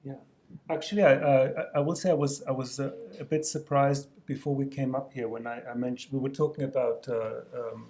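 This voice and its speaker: Guy moderating a panel, mid pitch, chatty, a few uhs. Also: fairly even intonation, a little fast, mellow, a little hiss or hum. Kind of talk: panel discussion.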